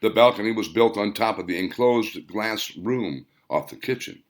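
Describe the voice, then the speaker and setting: A man's voice: fairly low pitch, moderate pace. A man reading his own novel aloud as scripted audiobook narration.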